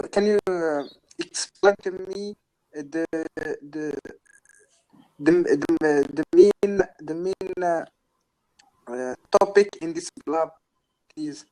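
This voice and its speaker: Man, twenties, Moroccan accent, higher-pitched voice, very slow delivery, halting, repeated words, casual chat. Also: a little mellow, rough recording. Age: 20s. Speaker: guest caller.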